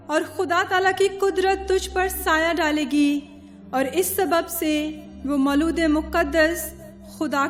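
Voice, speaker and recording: A woman voicing a solemn angel messenger, reciting scripture reverently in a radio drama. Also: high-pitched. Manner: slow, reverent, declamatory. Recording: long cavernous reverb, music underneath.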